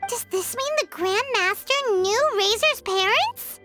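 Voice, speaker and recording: A tiny, excitable fairy sidekick from a video game. The voice is extremely high, childlike and squeaky. It bounces around with perky energy over clearly audible music. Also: female voice, unhurried. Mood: curious. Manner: perky, refers to self in third person.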